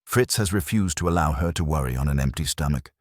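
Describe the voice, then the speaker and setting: A man voicing a stern, commanding genius detective in an audiobook, speaking in a dry, formal and deliberate way. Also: a bass voice, lively intonation, no room sound.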